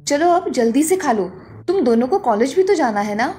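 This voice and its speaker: A woman voicing a caring mother of two grown daughters in a cartoon story, speaking gently and turning firm when she warns them. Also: high voice, lively intonation, normal speed, faint music behind.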